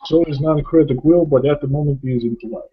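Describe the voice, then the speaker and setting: A man's voice: fairly high pitch, steady tone, fast, breathy edge. An American man from upstate New York co-hosting a movie review in a casual, conversational way. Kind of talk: casual film discussion. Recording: dull lo-fi recording.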